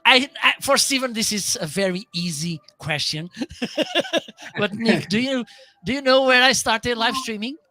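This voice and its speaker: Portuguese-accented male host, slow, bright, laughs a lot, faint music bed. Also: very high voice. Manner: laughs often, playful and animated. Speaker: live stream host. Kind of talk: live stream chat.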